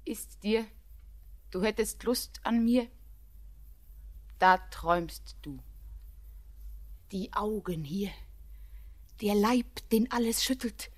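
An actress voicing Anna, a young bride torn between tenderness and despair, moving between hushed whispers and passionate outbursts. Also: female voice, mid-range voice, takes it slowly, long pauses. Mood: anguished. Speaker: lead actress. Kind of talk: classic radio play acting.

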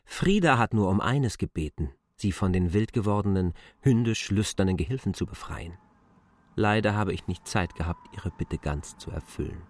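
An actor playing a stubborn, impatient, reproachful land surveyor in a radio drama, terse and self-assured. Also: male voice, low voice, lively intonation, normal speed, no echo, studio quality. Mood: grave.

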